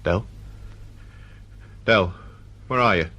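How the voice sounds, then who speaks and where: A tense, bitter, aggrieved writer. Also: male voice, on the low side, takes it slowly, bright, forceful tone, highs cut off, slight background noise. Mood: suspicious. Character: aggrieved, volatile male novelist, bitter and suspicious. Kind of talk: British radio drama acting.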